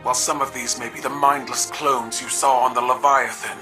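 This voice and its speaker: A man voicing a formal, battle-weary alien ruler in a video game, speaking in solemn, measured sentences. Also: mid-range voice, flat delivery, music in the background, natural voice. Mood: stern contempt.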